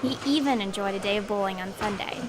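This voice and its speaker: A woman's voice: mid-range voice, fast. A young American woman reading scripted news narration as a reporter, on a noisy recording. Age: young adult.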